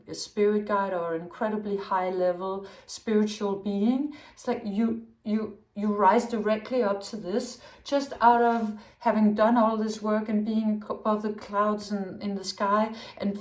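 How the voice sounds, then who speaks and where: A woman's voice: medium pitch, a little mellow. Woman, tarot reader, wandering and self-correcting, echoey room. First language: Danish. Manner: rambling, searching for words with many restarts. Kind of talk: intuitive tarot reading monologue.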